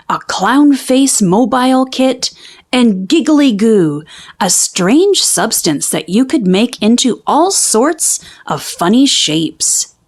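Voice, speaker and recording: High, animated woman reading a children's story. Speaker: children's book reader. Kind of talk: expressive read-aloud of a picture book.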